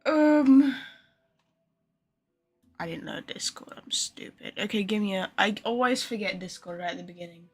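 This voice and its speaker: A woman's voice: medium pitch, expressive, moderate pace, long pauses, slightly rough, faint music behind. A woman game streamer chatting casually to her viewers, rambling and poking fun at herself. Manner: chatty, rambling, self-deprecating. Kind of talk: casual live-stream chat.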